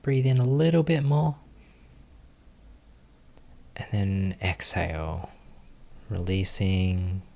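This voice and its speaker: A man leading a guided meditation as a teacher, giving gentle instructions with long pauses. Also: deep voice, gravelly.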